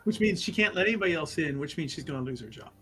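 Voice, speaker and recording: A woman's voice: sits low, expressive, very fast. A woman bantering casually as a tabletop game player, teasing and giggly.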